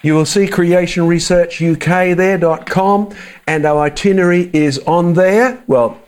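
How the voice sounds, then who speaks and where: A man's voice: high voice, unhurried, a little mellow, smooth. Chatty man with a mild Australian accent. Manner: chatty, joking asides to the audience. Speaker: creationist speaker. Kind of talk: casual church talk.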